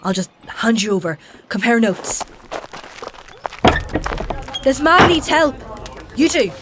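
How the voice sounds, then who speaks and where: An actress playing a practical, stubborn travelling healer in a fantasy audio drama, with a dry, matter-of-fact, conversational way of talking. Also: female voice, on the high side, sing-song, takes it slowly, heavy background noise. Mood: urgent. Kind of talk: dark fantasy audio drama dialogue and narration.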